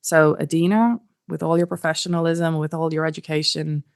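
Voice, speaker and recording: A woman with a fairly low voice hosts a podcast. She presents and asks questions in measured phrases, leaning on key words. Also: level tone, smooth. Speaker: podcast host. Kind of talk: scripted introduction and interview.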